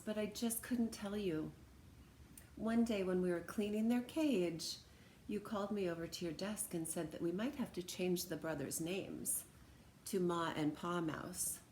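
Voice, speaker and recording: Woman, lowish voice, expressive children's read-aloud, animated delivery, roomy sound with some noise. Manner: animated, dramatic emphasis. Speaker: storyteller reading a picture book aloud. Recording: roomy echo, background noise.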